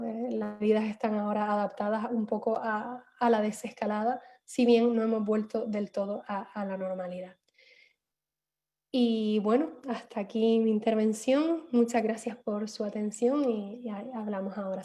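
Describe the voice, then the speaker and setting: Hesitant Canarian woman, dark voice. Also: mid-range voice, steady tone, moderate pace. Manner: hesitant, many fillers and restarts. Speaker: panelist. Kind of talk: online seminar talk. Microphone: video call.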